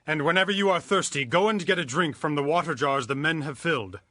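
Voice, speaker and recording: Bright-voiced, authoritative landowner. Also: male voice, a little high, fast talker, no room sound, clean studio sound. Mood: kindly, firm. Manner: firm, protective. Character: kindly, authoritative landowner of standing. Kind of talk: dramatized audio Bible acting.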